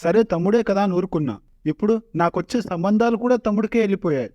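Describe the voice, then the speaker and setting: High, hurried, whining cartoon prince voiced by a man. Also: darkish tone, dry recording, natural voice. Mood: self-pitying. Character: pitiful, whining spurned cartoon prince, self-pitying. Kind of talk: animated parody comedy voice acting.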